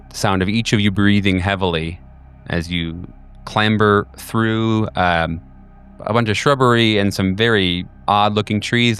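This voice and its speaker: A man with a fairly low, resonant voice runs a tabletop horror game. He narrates in pieces, pausing between phrases. Music plays softly behind him. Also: smooth. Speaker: game master and host. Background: background music.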